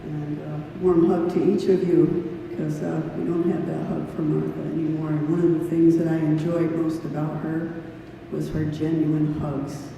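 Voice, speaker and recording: A man's voice: a little high, flat delivery, moderate pace, gravelly, mellow. A man giving an informal, personal eulogy at a memorial service in a church hall. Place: church hall, speaking to a gathering. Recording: echoey hall from far off, noisy.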